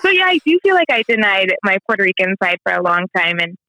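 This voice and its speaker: A woman's voice: medium pitch, very animated, quick pace, bright, forceful tone, no echo, lo-fi sound. A woman in her thirties from the American Midwest, talking candidly in a casual conversation, full of "like" and "you know". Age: 30s. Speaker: guest. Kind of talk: personal conversation.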